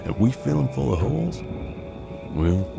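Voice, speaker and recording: A man's voice: mid-range voice, sing-song, normal speed, gravelly, music in the background. An American man playing a seasoned, unflappable bounty hunter in a western audio drama, with a folksy, laconic drawl. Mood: calm, calculating. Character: seasoned, unflappable Old West bounty hunter mentoring his apprentice.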